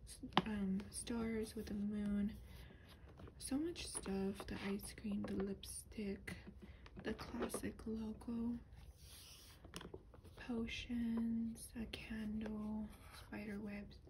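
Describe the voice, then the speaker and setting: Soft, breathy woman whispering ASMR, noisy recording. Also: mid-range voice, flat delivery, very slow, long pauses. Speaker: ASMR doll reviewer. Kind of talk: soft-spoken ASMR, rambling show and tell. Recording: clearly noisy lo-fi home recording.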